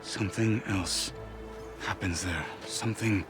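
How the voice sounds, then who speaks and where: Very deep, grim warrior marshal. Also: male voice, music in the background. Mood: grave, informative. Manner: terse, stern, weighty deliberate delivery. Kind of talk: dramatic video game cutscene acting.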